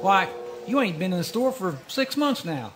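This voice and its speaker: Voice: mid-range voice, sing-song, moderate pace, over music, natural voice. A performer doing a folksy, nosy small-town grocer. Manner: folksy rural speech with "ain't". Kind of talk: live recreation of 1940s radio mystery acting.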